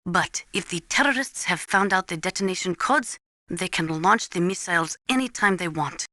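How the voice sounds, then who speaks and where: A serious female weapons adviser in a military video game. Her voice is crisp-edged and bright, recorded very dry and close. She explains things formally and exactly, like a trained instructor. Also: on the low side, animated, moderate pace, no echo. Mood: matter-of-fact. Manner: formal, precise, instructive, avoids contractions. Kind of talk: scripted video game voice acting, explanatory briefing.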